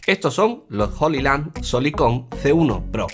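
A man presents a product review in a clear, conversational, explanatory way. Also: medium pitch, very animated, measured pace, a bit bright. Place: indoor studio, grey backdrop. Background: background music.

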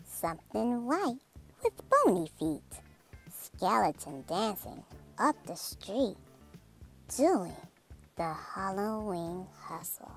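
A woman reading a rhyming children's picture book aloud in a dramatic, theatrical way, drawing out words and dropping into hushed phrases. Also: medium pitch. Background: music.

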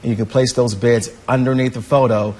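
A man's voice: medium pitch, fairly even intonation, a little fast, a little mellow, slight background noise. An American man hosting a live morning television interview in a polite, affirming manner. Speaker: TV morning show host.

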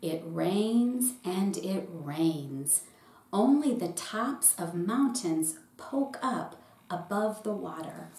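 A middle-aged woman, a pastor, reading a children's picture book aloud in short, deliberate phrases. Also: fairly low pitch, expressive, warm tone. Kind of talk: storybook read-aloud for children. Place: small indoor room with bookshelf. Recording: roomy echo with faint background noise.

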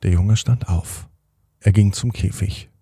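A man hosting and narrating a children's bedtime story in an expressive manner, speaking directly to young listeners. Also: on the low side, a little slow, slight background noise. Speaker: podcast host and storyteller.

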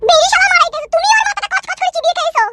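A woman's voice: very high voice, fairly even intonation, rapid-fire, very noisy. A woman voicing a quarrelsome village wife in a comedy cartoon, scolding and demanding with coarse language. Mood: indignant. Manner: scolding, foul-mouthed, demanding. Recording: loud music under the voice.

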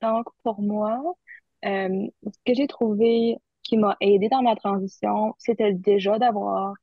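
A woman's voice: mid-range voice, fairly even intonation, a little slow, dry recording. A young woman student from Ontario chatting about her studies, talking easily and laughing often. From Barrie, Ontario, Canada. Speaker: university student guest. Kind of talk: casual conversation. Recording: dry, phone-like audio.

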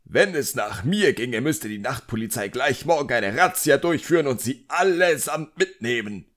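An irascible, self-important middle-aged magician played by a male narrator in an audiobook. He pitches his voice well above a relaxed narration and barks out bitter, emphatic complaints. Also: normal speed, close to an everyday voice. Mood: indignant ranting. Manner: barking, emphatic, indignant. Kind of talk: audiobook character dialogue.

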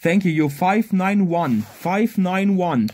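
A high-pitched, soft-toned man operates a ham radio outdoors. He speaks in clipped, repeated contest exchanges and phonetic spelling, over faint noise. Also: expressive, slow. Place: outdoors at a garden picnic table.

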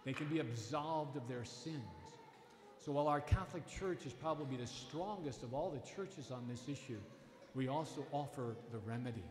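A man's voice: medium pitch, darkish tone, a little hiss or hum. A middle-aged man, a priest, preaching a Sunday homily from a lectern in a church, earnest and emphatic, often restarting his phrases. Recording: echoey church with long reverb.

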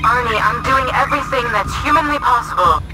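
A woman's voice: a little high, expressive, fairly quick, rough recording. A woman playing a harried ally in a video game, heard through a radio transmission filter. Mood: defensive. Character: harried female ally calling from orbit. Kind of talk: video game character acting. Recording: radio voice under loud music.

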